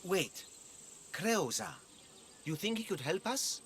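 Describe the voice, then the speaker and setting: An actor playing an eager, scheming merchant in a video game, with a Greek accent and a persuasive manner. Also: male voice, high voice, very animated, slow pace, a bit bright, slight background noise, full character voice. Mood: eager, teasing. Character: eager, scheming male vegetable merchant desperate to leave. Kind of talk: video game cutscene acting. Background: music.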